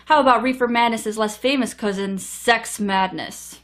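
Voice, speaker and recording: A woman's voice: fairly high pitch, normal speed, a bit bright. A woman reviewing an old film in a sardonic, casually profane comedic style.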